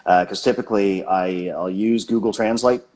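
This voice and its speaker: Low-voiced hesitant man host. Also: steady tone, normal speed, a little mellow. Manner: hesitant, many fillers and restarts, self-deprecating. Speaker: baseball channel host. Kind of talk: casual chat on a livestream.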